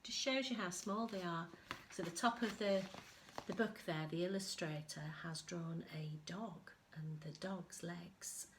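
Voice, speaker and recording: A woman reading a picture book aloud to young children, expressively, pausing to explain things as an infant school storyteller. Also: fairly low pitch, slight background noise.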